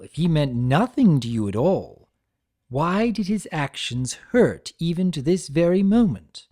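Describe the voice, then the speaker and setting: A man voicing a theatrical chaos spirit for a dramatic story reading, taunting yet sympathetic, with emphatic, drawn-out phrases. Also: a little high, warm tone, full character voice.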